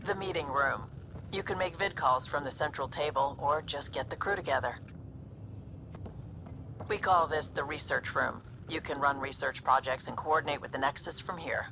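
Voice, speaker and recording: An actress playing a brisk, confident, no-nonsense ship officer in a video game, businesslike and matter-of-fact. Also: female voice, sits low, background noise. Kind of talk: video game cutscene acting.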